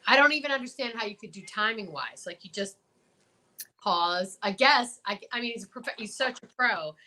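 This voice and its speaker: A woman's voice: mid-range voice, lively intonation, moderate pace, sharp tone. A woman talk show host chatting casually, emphatic and opinionated, often posing questions. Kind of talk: casual chat.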